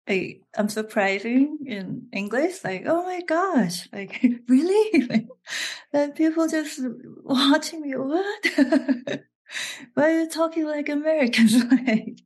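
A woman from South Korea with a Korean accent, talking as an interview guest in a halting way, often restarting and laughing. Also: high-pitched. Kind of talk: casual interview.

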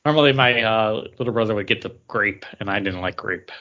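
A man's voice: lowish voice, fairly quick, pushed and bright. A man chatting casually as a podcast co-host, playful and rambling in the way he talks. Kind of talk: casual chat. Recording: dull lo-fi audio.

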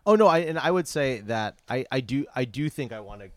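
A man's voice: medium pitch, animated, brisk, no echo, studio quality. A man guesting on a theater chat, earnest and thinking aloud with many restarts. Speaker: guest, theater company producer. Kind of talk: casual chat. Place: dark outdoor hollow at a theater venue.

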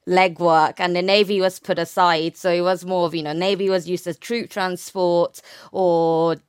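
A woman's voice: lowish voice, flat delivery, normal speed, clean tone, dry recording, clean studio sound. A young woman speaking as an academic guest, explaining her research conversationally and often rephrasing with "sort of".

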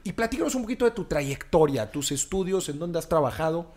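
A woman hosting an interview, lively and quick to affirm her guest. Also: fairly low pitch. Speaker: interview host. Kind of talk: conversational interview.

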